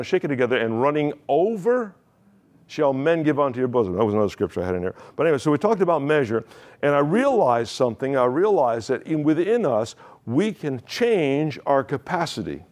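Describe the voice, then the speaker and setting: A man's voice: medium pitch, a little mellow. A male pastor preaching a sermon in a conversational way, asking the congregation questions and often restarting his phrases.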